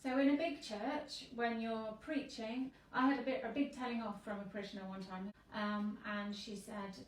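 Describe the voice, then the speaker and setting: A woman vicar hosting an interview, chatty and lively, quick to laugh. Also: fairly high pitch, roomy sound, recorded from a distance, rough recording. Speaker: vicar and host.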